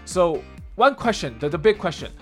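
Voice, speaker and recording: Male radio co-host, somewhat high voice, American accent with a trace of Singaporean, chatty and laughing, music faint underneath. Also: very animated, moderate pace. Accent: American with a slight Singaporean tinge. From Taiwan. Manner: chatty, laughs often, switches into Mandarin. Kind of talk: casual bilingual radio chat.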